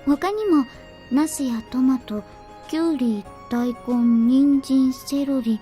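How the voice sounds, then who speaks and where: An actress voicing a cool, blunt but quietly earnest young woman in a visual novel, with a matter-of-fact, understated manner. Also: high-pitched, normal speed, natural voice. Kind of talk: Japanese visual novel voice acting. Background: game music.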